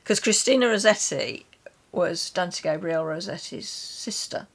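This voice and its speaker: Low-voiced woman, slow and unhurried. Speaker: guest reader on a literature chat channel. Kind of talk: casual book chat and poetry reading.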